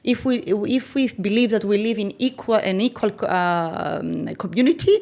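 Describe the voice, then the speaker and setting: A woman talks through ideas piece by piece on a podcast. She often pauses to check in with her listener. Her voice sits in an ordinary middle range. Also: mid-range voice, vocal fry, darkish tone. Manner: explains step by step, often checking in with "right?". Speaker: podcast guest. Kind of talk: explanatory conversation.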